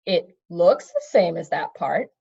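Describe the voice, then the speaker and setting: Storybook king, played by a female reader: impulsive, urgent, plain-spoken, wide pitch swings, slightly dull sound. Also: animated, a little slow, gravelly, warm tone, slight background noise, exaggerated voice. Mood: cautious, pressing.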